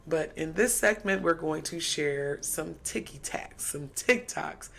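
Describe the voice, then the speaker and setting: A woman's voice: low-pitched, a little slow, vocal fry, fairly bright tone. An American woman co-hosting a livestream, blunt and conversational as she introduces video clips. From United States. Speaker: co-host. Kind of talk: livestream commentary.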